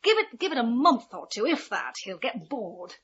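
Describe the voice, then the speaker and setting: A scornful English wife and mother in a radio play. Her high voice swings widely as she delivers sardonic, cynical digs. The recording is slightly muffled. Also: sing-song, moderate pace, a bit bright, breathy edge, full character voice. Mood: bitter, resigned. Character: bitter, cynical middle-aged wife and mother. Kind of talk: radio drama acting.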